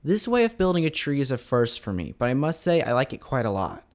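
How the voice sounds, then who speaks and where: A man's voice: mid-range voice, sing-song, fairly quick. A man hosting a hobby building channel, narrating a scripted showcase in an enthusiastic way. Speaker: host of a LEGO building channel.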